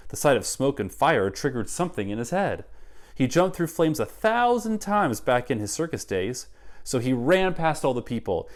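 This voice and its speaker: A middle-aged man reading a picture book aloud to children in an expressive, dramatic way. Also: on the high side. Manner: animated, dramatic. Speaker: read-aloud guest reader. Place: child's bedroom.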